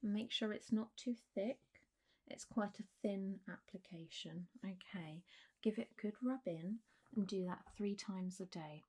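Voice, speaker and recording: A woman doing a soft, half-whispered ASMR roleplay as a gentle, reassuring doctor talking a patient through care, in a close recording with faint hiss. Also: mid-range voice, breathy edge. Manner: gentle, reassuring, unhurried doctor's bedside talk. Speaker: ASMR performer playing a clinic doctor.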